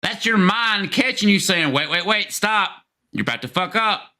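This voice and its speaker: Hoarse, excitable man hosting a stream. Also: sits high, moderate pace. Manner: excitable, mocking, swears freely. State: hoarse, losing his voice. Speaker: live show host reacting to clips. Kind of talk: casual live stream commentary.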